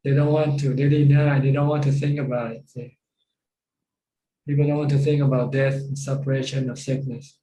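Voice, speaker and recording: A man with a Thai accent giving informal Buddhist teaching on a video call, repeating phrases in halting fashion. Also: mid-range voice, flat delivery, dark tone, a little hiss or hum. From Thailand. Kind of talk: informal Dhamma teaching with questions. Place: Zoom call. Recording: roomy, echoing video call.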